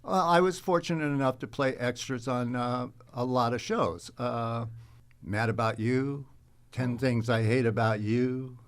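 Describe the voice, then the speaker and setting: A man speaking as an interview guest, telling casual stories in a halting, rambling way. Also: mid-range voice, fairly even intonation. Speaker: guest, actor.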